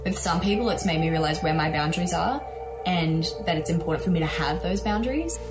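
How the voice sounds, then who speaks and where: Woman, interviewee, casual, lowish lively voice, echoey, music under. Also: brisk. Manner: relaxed, filler-heavy talk.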